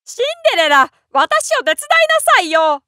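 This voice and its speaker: A woman's voice: very high-pitched, big pitch swings, normal speed, bright, forceful tone, no echo, clean studio sound, full character voice. A woman voicing a spoiled, boastful young stepsister in a storybook tale, whiny and pushy. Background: music.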